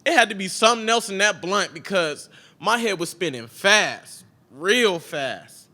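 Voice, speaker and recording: A man's voice: high voice, expressive, measured pace, bright, forceful tone, heavily acted. A man performing a slangy, emotional stage monologue as a scared young street kid. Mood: animated storytelling. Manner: slangy, emotional storytelling, acting out each speaker. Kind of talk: dramatic spoken monologue on stage. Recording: piano music playing behind the voice.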